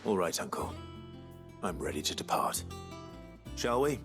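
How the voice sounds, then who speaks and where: An actor playing a brooding, resolute warrior leader in a fantasy game, husky, terse and earnest. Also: male voice, sing-song, measured pace, music in the background, exaggerated voice. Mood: reassuring, calm. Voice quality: husky, gravelly rasp. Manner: low-key, terse, earnest. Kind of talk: scripted fantasy game dialogue.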